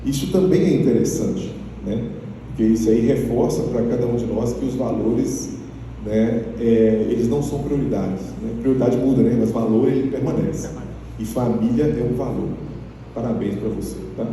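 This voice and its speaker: A man's voice: medium pitch, moderate pace, warm tone, a little hiss or hum. A man in the audience commenting reflectively, tagging his sentences with short questions. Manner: reflective, frequent tag questions. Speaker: audience member. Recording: distant mic in reverberant hall.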